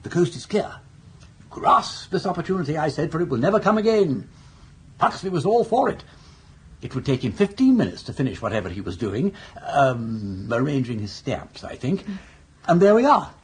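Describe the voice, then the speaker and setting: A breezy, roguish older gentleman with an upper-class English accent. His voice is very husky and rather high for a man, swooping widely as he spins ornate yarns in a radio comedy. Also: very animated, normal speed, heavily acted. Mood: scheming, jaunty. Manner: jaunty, ornate, raconteur-like phrasing. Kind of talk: radio comedy drama acting.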